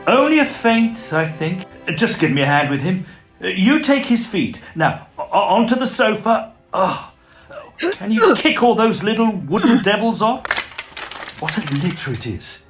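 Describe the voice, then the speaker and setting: A man playing a brisk, blunt, level-headed young medical student in an audio drama. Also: high voice, very animated, faint music behind. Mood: brisk concern. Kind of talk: acted audio drama dialogue.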